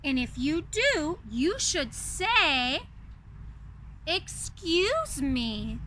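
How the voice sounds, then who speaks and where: A woman voicing a kindly, motherly storybook teapot who fusses and gently corrects. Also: high voice, sing-song, drawn-out words, a little hiss or hum, exaggerated voice, low-quality recording. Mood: gently scolding. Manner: fussing, gently instructive.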